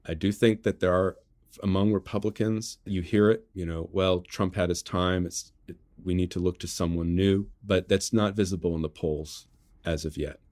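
An American man giving political commentary as an interview guest, measured and halting as he restarts phrases to qualify his points. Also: deep voice, flat delivery, a little mellow, dry recording, clean studio sound. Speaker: interview guest, journalist and author.

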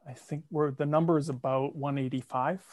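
A man's voice: fairly high pitch, fairly even intonation, a little fast, darkish tone, dry recording. A man guest on a podcast, talking about his show in a wry, self-deprecating way. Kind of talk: casual interview.

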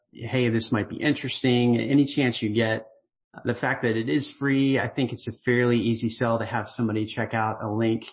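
A middle-aged man, the writer of a newsletter, gives a casual voicemail-style update, relaxed and earnest, rambling with fillers and restarts. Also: mid-range voice. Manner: grateful and earnest.

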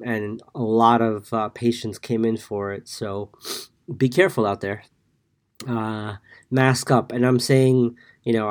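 Slow-talking congested man. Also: mid-range voice. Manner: slow, with many fillers, reading numbers from notes. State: congested from a cold. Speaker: market podcast host. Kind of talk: casual daily market recap. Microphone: podcast mic.

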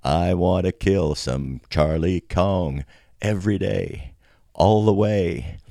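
A gravelly American drill sergeant acted out by a man telling a story calls a marching cadence. The words come slow and rhythmic in a low, louder-than-talk chant, with a dry sound. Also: no room sound, heavily acted. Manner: drawn-out, rhythmic call-and-response chant.

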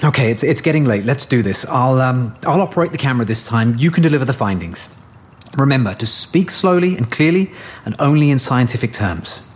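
An actor playing a harried scientist and lab boss in an audio drama, sometimes hesitant and halting. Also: male voice, mid-range voice, expressive, lightly acted. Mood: uneasy, guarded. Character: harried male scientist, lab boss, devoted husband-to-be-father. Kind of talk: full-cast audio drama acting.

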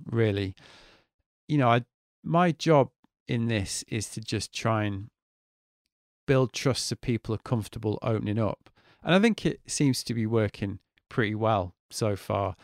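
A man's voice: medium pitch, no echo, clean studio sound. A man in his forties, hosting a podcast in a chatty, candid monologue and swearing freely. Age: 40s. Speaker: podcast host. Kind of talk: casual solo podcast monologue.

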